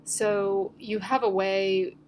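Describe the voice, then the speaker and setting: A woman giving a casual weekly crystal and oracle card reading, her voice a little stuffy and scratchy from allergies, speaking gently with frequent ums. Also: medium pitch, fairly even intonation, takes it slowly. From United States.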